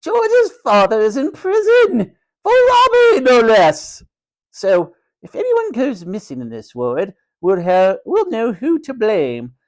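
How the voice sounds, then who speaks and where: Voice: mid-range voice, wildly swooping pitch, slow, a little mellow, pitched above the performer's own voice. A storyteller voicing a cruel, sneering hospital matron, a domineering woman who snaps and mocks. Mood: mocking, cruel. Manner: snapping, mocking, sarcastic, domineering.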